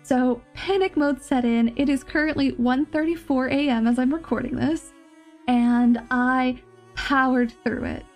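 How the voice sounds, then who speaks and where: A woman's voice: high voice, unhurried, darkish tone, faint music behind. A woman with a nasal, slightly stuffed-up voice from a cold, giving listeners a casual update as a channel host. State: mildly sick with a cold.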